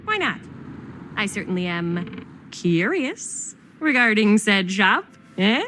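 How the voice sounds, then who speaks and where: An actress playing a plucky young amateur filmmaker in a video game drama, talking in a casual, playful, upbeat way. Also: female voice, medium pitch, very animated, slow pace, a bit bright, slight background noise. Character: plucky, joking teenage girl filmmaker.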